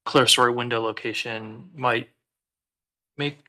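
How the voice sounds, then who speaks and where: A man's voice: mid-range voice, fairly even intonation, a little slow, sharp tone. A man on a city commission giving hesitant comments at a public meeting, full of fillers and restarts.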